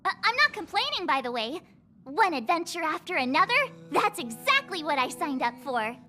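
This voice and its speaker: A woman voicing a perky, chatty, adventurous young girl in a video game, with a bubbly, expressive manner. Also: very high voice, normal speed, exaggerated voice. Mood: cheerful. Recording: music behind the voice.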